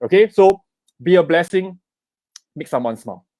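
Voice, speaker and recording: A man's voice: high voice, lively intonation, normal speed, warm tone, no room sound. Singaporean man checking in with "right?". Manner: keeps checking in with "okay?" and "right?"; urges viewers to type in the comments. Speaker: investment speaker. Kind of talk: live online seminar talk.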